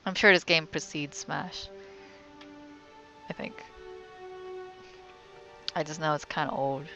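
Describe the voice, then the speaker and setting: A woman's voice: low-pitched, steady tone, slow, bright, forceful tone, soft background music. A young woman giving casual let's play commentary as a game streamer, often murmuring to herself.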